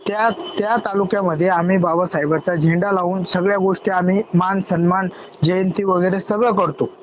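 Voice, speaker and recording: A man's voice: high voice, steady tone, brisk. A man from Hingoli district in Maharashtra calling by phone to argue, politely but persistently objecting and asking to be heard. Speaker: caller. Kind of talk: argumentative phone conversation. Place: phone call. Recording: muffled, noisy recorded phone call.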